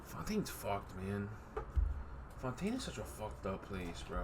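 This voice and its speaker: A man's voice: fairly low pitch, wildly swooping pitch, measured pace, noisy background. A man giving casual reaction commentary on a video game, slangy and sweary, repeating himself as he goes.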